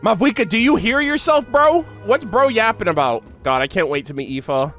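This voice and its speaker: A young man giving casual, excited reaction commentary in slangy, gushing, animated fan talk. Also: high voice, normal speed, soft background music. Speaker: reaction video creator.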